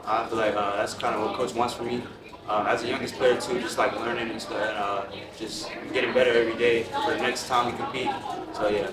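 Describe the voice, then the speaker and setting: A man's voice: medium pitch, flat delivery, fairly quick. Teen male athlete, hesitant, crowd noise behind. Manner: hesitant, many uh fillers. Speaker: national team basketball player. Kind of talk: answering press questions. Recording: roomy, crowd noise, distant mic.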